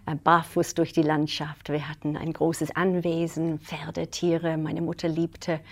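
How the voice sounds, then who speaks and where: A middle-aged woman from England, telling her life story as an interview guest in a lively way, with frequent laughs and fillers. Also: low voice, unhurried.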